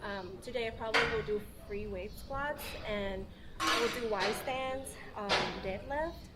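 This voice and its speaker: A woman from Thailand with a Thai accent, a fitness athlete explaining casually in halting, hesitant phrases. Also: a little high, heavy background noise. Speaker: fitness athlete being interviewed.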